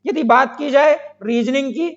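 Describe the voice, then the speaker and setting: A man's voice: very high-pitched, moderate pace. A man who is an exam coaching teacher explains to students, repeating phrases and asking questions that he answers himself. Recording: dull lo-fi sound.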